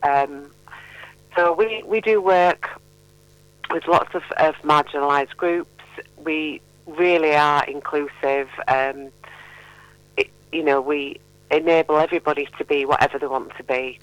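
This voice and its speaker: Middle aged woman on a radio interview, smooth voice, really dry sound, chatty and encouraging. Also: deep voice, a little slow, dry recording, poor audio. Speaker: mental health charity representative, radio guest.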